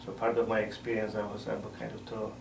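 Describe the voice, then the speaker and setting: Gravelly-voiced priest, distant echoey mic. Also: male voice, lowish voice, completely flat delivery, noisy background. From Poland. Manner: measured, pausing often to find words. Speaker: missionary priest. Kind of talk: interview answers. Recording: roomy sound from a distant mic.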